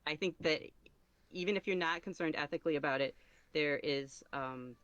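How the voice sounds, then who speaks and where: A man giving casual podcast interview answers as a guest, halting and thinking aloud as he goes. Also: a little high, fairly bright tone, clean tone, no echo, muffled recording. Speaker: podcast guest, ASMR roleplay creator.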